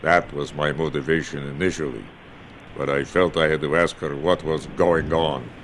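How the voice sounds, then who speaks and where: Gruff ex-KGB drifter, very deep, slow, voiced by a man, faint hiss behind. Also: fairly even intonation, a bit bright. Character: gruff homeless former KGB man, grumpy. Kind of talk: audio drama acting.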